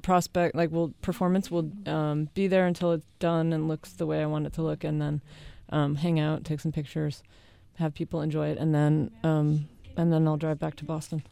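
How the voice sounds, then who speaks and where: A woman in her late thirties chatting casually as an interview guest, halting as she explains things. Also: low voice, level tone, vocal fry, mellow, smooth. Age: late 30s. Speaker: guest, needle-piercing performance artist and teacher.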